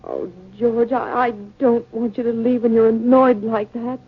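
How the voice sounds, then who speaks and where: Nervy squeamish 1950s housewife, husky high voice, old radio play, muffled vintage sound. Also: female voice, steady tone, unhurried. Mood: polite. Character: anxious, squeamish professor's wife who loathes insects. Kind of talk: 1950s radio drama acting. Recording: dull, band-limited 1950 radio recording.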